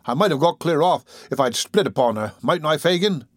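A man's voice: a little high, animated, brisk, no room sound, studio quality, close to an everyday voice. A man voicing a touchy, boastful, dim-witted young thief in a storybook, insistently pressing questions. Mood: indignant.

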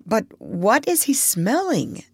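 A woman voicing a kindly, excitable man, a doctor, who exclaims and gives brisk orders. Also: medium pitch, vocal fry, slightly rough, no echo, studio quality, heavily acted. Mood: puzzled.

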